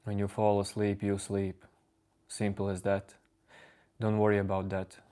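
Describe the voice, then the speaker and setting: A man whose first language is Latvian, calmly answering viewers' chat questions on a live stream. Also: fairly low pitch. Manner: calm, unhurried replies to chat. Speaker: livestream host answering chat.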